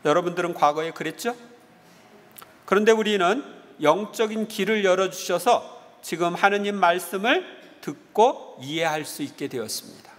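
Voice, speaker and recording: High-voiced priest preaching in echoing church. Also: male voice, animated, moderate pace. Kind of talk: homily with anecdotes. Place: large church interior. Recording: reverberant church hall with long echo.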